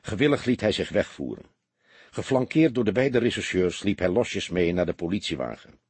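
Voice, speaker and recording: Very husky male audiobook narrator. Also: low voice, animated, normal speed, a little mellow, dry recording. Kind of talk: dramatic scripted narration of a detective novel.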